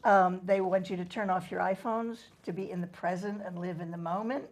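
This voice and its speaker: A woman's voice: lowish voice, steady tone, fairly quick. A middle-aged woman co-hosting a casual group chat, explaining things at length with frequent ums. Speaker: co-host.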